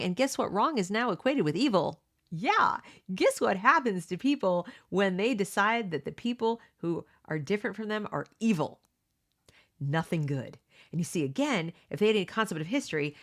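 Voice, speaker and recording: A woman's voice: on the low side, fairly bright tone. Earnest woman reading aloud with asides. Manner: reads passages then breaks off into emphatic asides; earnest, opinionated, keeps checking in with "okay?" and "you know". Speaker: homeschooling parent reading a book aloud. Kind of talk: read-along with conversational commentary.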